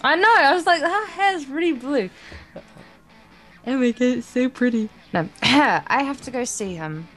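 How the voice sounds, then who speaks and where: A woman's voice: high-pitched, expressive, unhurried. A woman co-hosting a let's play, reading a visual novel aloud with playful, casual banter. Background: soft guitar music.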